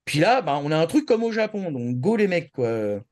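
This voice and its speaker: Insistent, colloquial man, slightly high-pitched. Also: sing-song, moderate pace, a little mellow. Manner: colloquial, insistent, repeats words to make a point. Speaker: show panelist. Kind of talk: casual opinionated discussion.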